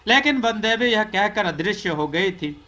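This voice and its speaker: A man's voice: high-pitched, expressive, a little slow, sharp tone. A man reading a scripted folk tale as a storyteller.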